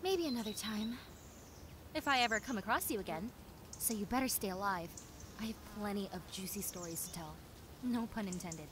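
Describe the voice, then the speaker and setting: A woman playing a friendly, clumsy young female zombie in a roleplay audio drama, talking in a chatty, expressive, rambling way. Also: medium pitch, moderate pace, noisy background, lightly acted. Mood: bubbly, gossipy. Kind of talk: roleplay audio drama, conversational monologue addressed to listener.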